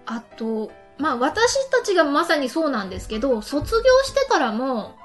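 A woman's voice: sits high, very animated, moderate pace. A woman hosting a casual radio talk show, reading out listener messages. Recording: light music behind the voice. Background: background music.

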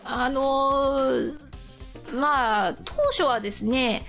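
A woman's voice: high voice, drawn-out words, a bit bright, faint music behind. A woman hosting a casual radio chat, often laughing as she talks. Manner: giggly, often laughing while talking. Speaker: radio show host.

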